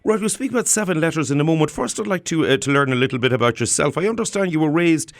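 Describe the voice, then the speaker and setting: Guy hosting a radio interview, measured, bit hesitant on questions, dry room sound. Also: a little high, expressive, no room sound. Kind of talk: radio interview with scripted introduction.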